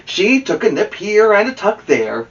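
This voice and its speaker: A man hosting a children's story podcast, reading a picture book aloud in an expressive, animated way. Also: sits high, unhurried, dull audio, a little hiss or hum. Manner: expressive, addresses a young co-host with questions. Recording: slightly distant from the microphone.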